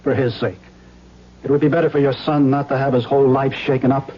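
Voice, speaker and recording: An actor playing an anxious, upright middle-aged bank executive, speaking earnestly and properly in an old-time radio drama. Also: male voice, medium pitch, flat delivery, a little fast, lightly acted. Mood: anxious, protesting. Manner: earnest, reasoning, proper diction. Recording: dull lo-fi old radio recording.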